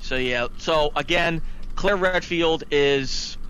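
Male podcast host, fairly high-pitched, opinionated and emphatic, casual ranting review, slight background noise. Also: takes it slowly, fairly bright tone.

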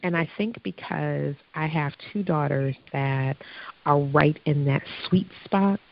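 A woman hosting a podcast in a casual, chatty, upbeat way, her voice hoarse from allergies. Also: low voice, lo-fi sound. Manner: chatty, upbeat, quick to laugh. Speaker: podcast host. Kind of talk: casual chat.

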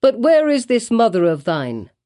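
A woman's voice: on the high side, very animated, normal speed, dark tone, pitched above the performer's own voice. A woman playing a fussy old minister. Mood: curious. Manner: quaint, archaic, questioning.

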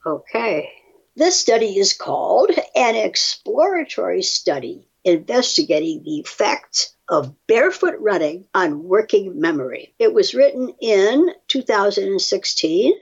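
A woman's voice: medium pitch, sing-song, unhurried, gravelly, husky. An older woman reading aloud and explaining in plain, wry, chatty asides, on a slightly dull, muffled recording. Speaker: guest reading and commenting on research studies.

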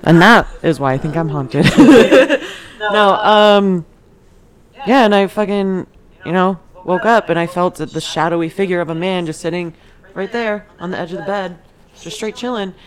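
Woman podcast co-host, low-ish pitch, giggly and loose delivery. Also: normal speed. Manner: giggly, laughs often. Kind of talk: casual chat.

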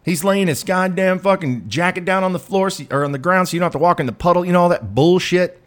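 Middle-aged male commentator, crude and in-your-face, jumps between loud and low. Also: quick pace. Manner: blunt, profane, swings between loud and low. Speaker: dating commentator. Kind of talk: ranting monologue.

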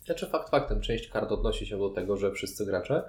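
A man explaining things in a measured way as co-host of a casual discussion about games. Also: on the low side, lively intonation. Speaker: podcast co-host. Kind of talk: casual board game discussion.

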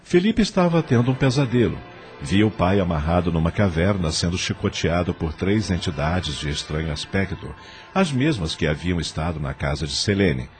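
Male radio drama narrator: deep voice, animated storytelling, faint music bed. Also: normal speed.

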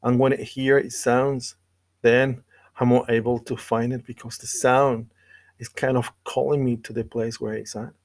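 A man delivering a scripted devotional sermon and scripture reading in an earnest, measured way with deliberate pauses. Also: medium pitch, flat delivery. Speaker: preacher.

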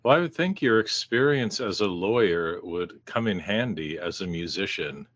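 A man's voice: sits low, expressive, moderate pace, a bit bright, no echo, clean studio sound. A man interviewing a musician in a casual, friendly, easygoing way.

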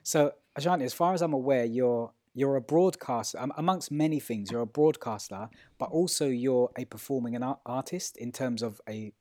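A man's voice: mid-range voice, normal speed, hardly any pauses, darkish tone, dry recording, studio quality. A man, co-hosting a podcast and putting questions in a casual, tentative, inquisitive manner. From London, England. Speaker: podcast co-host. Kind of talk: casual interview.

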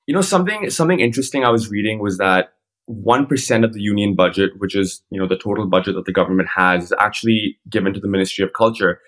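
Low-pitched young man hosting a podcast. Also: quick pace, a bit bright. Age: 20s. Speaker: podcast co-host. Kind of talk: conversational podcast interviewing.

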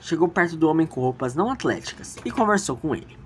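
A woman's voice: sits low, expressive, fast, slight background noise. A woman with a Brazilian accent narrating an audio drama in a storytelling style. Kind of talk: storytelling narration, loosely scripted.

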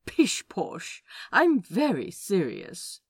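Woman acting fussy man, lowish voice, lively intonation, prim, playfully teasing, audio fiction. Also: drawn-out words, creaky, breathy edge, full character voice. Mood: mock-serious. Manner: prim, mock-serious, teasing.

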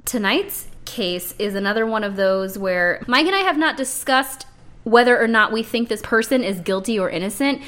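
A woman with a high-pitched voice co-hosting a true-crime podcast, playful and laughing often. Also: lively intonation, moderate pace, a bit bright. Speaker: podcast co-host. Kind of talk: casual banter about a true-crime case.

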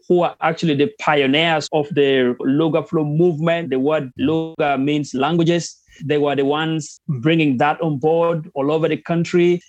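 Ugandan male guest, earnest, lots of fillers; fairly high voice, dull lo-fi remote audio. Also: dry recording. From northern Uganda. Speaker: guest, hip hop artist and activist. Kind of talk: interview answers.

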